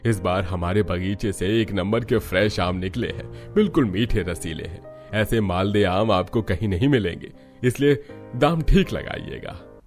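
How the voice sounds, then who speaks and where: Older village father-in-law , male actor, cartoon farmer: low pitch, weary and grumpy. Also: measured pace, faint music behind, natural voice. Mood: persuasive. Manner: irritable, weary complaining. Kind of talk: Hindi cartoon story dialogue.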